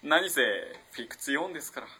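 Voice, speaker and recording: Man acting bright, husky, earnest young student. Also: a little high, fast, exaggerated voice. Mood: thoughtful, earnest. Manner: polite, eager, hesitant. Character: young male student, polite, earnest, easily flustered. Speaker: actor in amateur radio drama. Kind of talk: Japanese radio drama acting.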